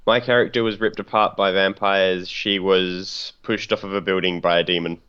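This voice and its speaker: A man takes part in a casual tabletop game. His voice is low and smooth, and he answers in short, matter-of-fact statements. The recording is a little dull and lo-fi. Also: little pitch movement, normal speed, a bit bright. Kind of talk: casual roleplay chat.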